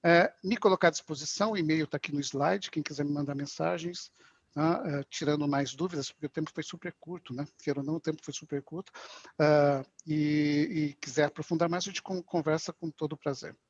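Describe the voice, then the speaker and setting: A man's voice: fairly high pitch, steady tone, fairly quick. A man with a Brazilian accent presenting conversationally in a webinar, informal, often adding little checking tags. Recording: dull, lo-fi online call audio.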